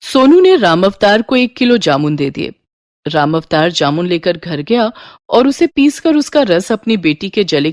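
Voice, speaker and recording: Female storyteller for scripted moral tales, animated intonation, studio-dry sound. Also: lowish voice, dry recording.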